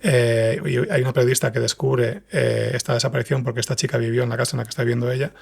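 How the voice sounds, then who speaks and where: A man's voice: medium pitch, steady tone, fast, vocal fry, breathy edge. A man explaining things casually as an interview guest, correcting himself along the way. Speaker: guest, fiction podcast writer.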